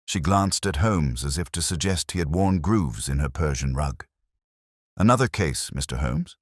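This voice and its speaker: A man reading a first-person detective story as its narrator, in a measured, formal, literary manner. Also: a bass voice, husky, no room sound, clean studio sound. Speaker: audiobook narrator.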